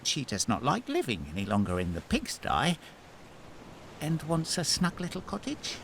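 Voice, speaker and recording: An actor playing a humble, simple fisherman in a fairy tale audio drama, with a theatrical, expressive manner. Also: fairly high pitch, moderate pace, creaky, slight background noise, heavily acted. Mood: sheepish.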